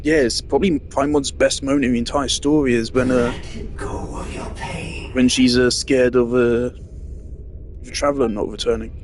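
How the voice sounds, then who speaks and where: A man's voice: mid-range voice, steady tone, normal speed, pauses often, a little mellow, slight background noise. A man giving casual, rambling game commentary, musing hesitantly and trailing off. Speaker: game streamer. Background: game music.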